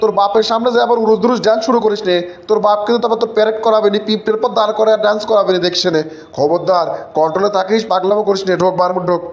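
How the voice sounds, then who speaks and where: A man's voice: high-pitched, fairly even intonation, very fast. A man voicing a teasing, bossy young village drinking buddy in a comic cartoon, slangy and nagging, with a Bogura accent. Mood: cautioning. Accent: Bogura, northern Bangladesh. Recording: dull lo-fi sound.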